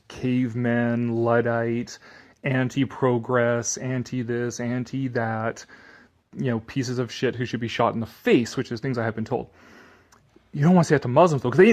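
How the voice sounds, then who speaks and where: A man giving a dramatic reading with sarcastic, exasperated asides and casual swearing, shifting between declaiming and offhand muttering. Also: medium pitch. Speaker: commentator reading an article aloud.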